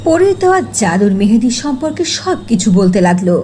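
Woman storyteller, high mellow voice. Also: very animated, brisk. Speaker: storyteller narrator. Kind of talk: scripted fairy-tale narration. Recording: faint noise under voice-over.

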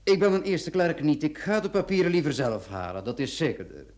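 A man's voice: fairly high pitch, fairly quick, darkish tone, lightly acted. Courteous, fussy notary, played by a man. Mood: obliging. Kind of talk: Dutch-language radio drama acting.

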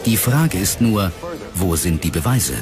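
A man's voice: sits low, animated, unhurried, over loud music. A man giving expert commentary as a voice-over in a documentary.

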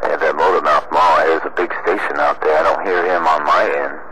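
Low-voiced man on noisy CB radio. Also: steady tone, fairly quick. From United States. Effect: CB radio transmission. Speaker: CB radio operator. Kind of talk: casual CB radio chat. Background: loud radio static.

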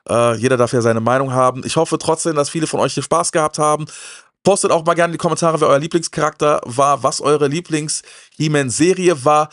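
A middle-aged man with a slightly high voice talks casually to his viewers as a show host. He speaks into a handheld mic, and the sound is dry and close. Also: no room sound. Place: indoor room lined with media shelves. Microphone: handheld microphone held close.

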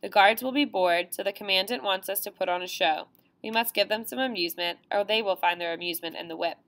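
A woman's voice: medium pitch, fast talker, fairly bright tone, lightly acted. A woman reading the part of an earnest female camp elder pleading urgently.

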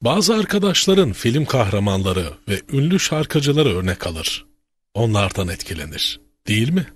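A man voicing a kindly, pious old grandfather in a children's cartoon, teaching in a gentle, measured, didactic way with a husky, aged voice. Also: mid-range voice, sing-song. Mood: earnest, instructive.

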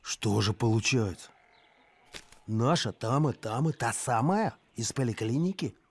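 A man voicing a simple, good-natured talking hunting dog in a cartoon, with a gravelly voice and naive, expressive manner. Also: medium pitch, unhurried, slight background noise. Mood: amazed. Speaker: voice actor. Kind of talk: animated cartoon acting.

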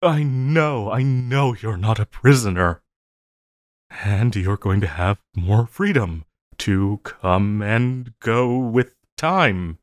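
A man playing a possessive supervillain lover in an intimate audio roleplay, coaxing and pleading with a halting delivery and quiet menace. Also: fairly low pitch, no room sound, studio quality.

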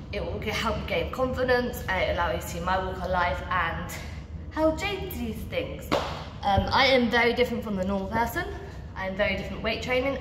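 A woman's voice: medium pitch, noisy background. A young woman, a personal trainer, chatting casually as she films a walkthrough of a large indoor gym on a phone held at arm's length, upbeat and full of ums and restarts. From England. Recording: reverberant gym hall with faint ambience.

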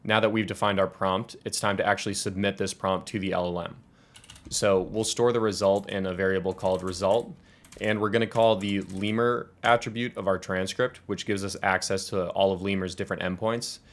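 Low-voiced young American man explaining code. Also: flat delivery, a little fast. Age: 20s. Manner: explanatory, step-by-step. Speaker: tutorial presenter. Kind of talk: scripted software tutorial walkthrough.

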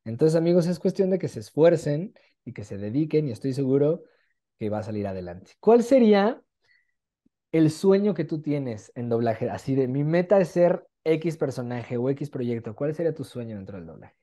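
A man's voice: a little high, sing-song, normal speed, warm tone. A man in his twenties with a Mexican accent, interviewing casually over a video call in an encouraging, conversational way. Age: 20s. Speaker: interviewer, host. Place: small room at home, indoors. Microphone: video call with wired earphones.

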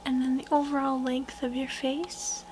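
A young woman speaking softly, often in a whisper, in a gentle, unhurried ASMR personal-attention roleplay, murmuring close to the listener. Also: high-pitched, little pitch movement, background noise. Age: young adult. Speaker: ASMR artist roleplaying a portrait painter.

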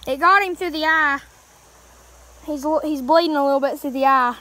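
Easygoing woman filming a creek fishing trip, high bright voice. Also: normal speed. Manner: chatty, easygoing, talks to viewers. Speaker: fishing vlogger. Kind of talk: casual running commentary while fishing. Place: outdoors by a wooded mountain creek. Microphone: handheld phone camera.

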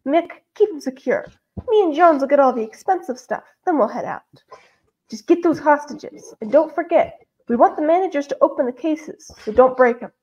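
A woman voicing an irritable male gang leader with a commanding, rough manner in a storybook reading. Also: high voice, lively intonation, normal speed, warm tone, muffled recording. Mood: bossy. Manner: commanding, rough casual speech.

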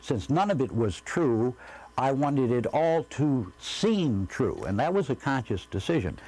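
An older man with a mid-pitched, mellow and dark-toned voice. He answers interview questions as a director looking back, choosing his words carefully and qualifying what he says. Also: big pitch swings, a little slow, slightly rough, rough recording. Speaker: radio drama director being interviewed.

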